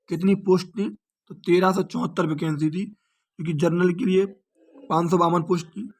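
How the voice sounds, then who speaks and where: A man, an exam coaching teacher explaining details informally and repeating key points as questions and answers. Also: high-pitched.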